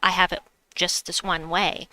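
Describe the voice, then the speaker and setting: A woman's voice: on the low side, flat delivery, moderate pace, bright, forceful tone, poor audio. A woman with a slight New York accent, streaming a game and chatting with her viewers as she plays. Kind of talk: casual live-stream chat while gaming.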